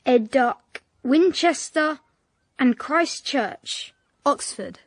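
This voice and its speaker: A man's voice: extremely high pitch, lively intonation, very slow, no room sound, studio quality, full character voice. An actor with an English accent playing a dutiful eight-year-old boy in a radio drama. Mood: reciting. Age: child figure. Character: young English schoolboy, earnest and dutiful.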